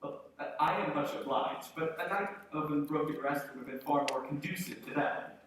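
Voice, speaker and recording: A man's voice: a little high, normal speed, fairly bright tone, slight background noise, lightly acted. Querulous old miser for live radio drama, played by a man, hesitant, pleading delivery, very echoey room. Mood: nervous. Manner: stammering, pleading. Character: anxious elderly male miser, querulous, softening into regret. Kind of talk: live radio play acting. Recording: echoey hall, distant mic, faint music.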